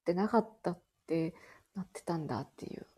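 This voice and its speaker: A woman in her thirties with a Kansai Japanese accent, co-hosting a casual nostalgic podcast chat and telling stories with lively animation. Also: fairly low pitch, brisk, husky. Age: 30s. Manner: animated storyteller, laughs while talking. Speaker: podcast co-host.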